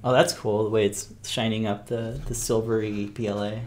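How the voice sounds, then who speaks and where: A man's voice: fairly low pitch, level tone, moderate pace, slight background noise. A man co-hosting a maker show, chatting informally and enthusiastically, unscripted, about 3D printing projects.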